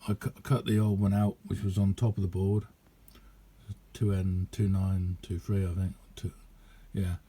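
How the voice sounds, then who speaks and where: A man giving a casual, rambling hands-on demonstration as a radio hobbyist, chatty and hesitant in an unhurried way. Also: deep voice, level tone, mellow, breathy edge.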